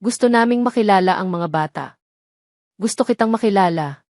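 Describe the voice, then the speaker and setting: A woman voicing an older matriarch in an audio drama, soft-spoken, affectionate and earnest, with quiet authority. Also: medium pitch, normal speed, no room sound, studio quality, natural voice. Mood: gently insistent. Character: gentle, dignified older matriarch, warm yet authoritative.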